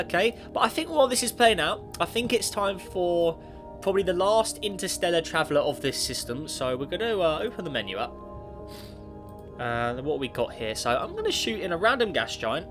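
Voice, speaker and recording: Sniffly man commenting on a space sim. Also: on the high side. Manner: rambling, self-correcting, talks to the game. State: has a cold, sniffly. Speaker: gaming YouTuber. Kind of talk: casual gameplay commentary. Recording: clear background music. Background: background music.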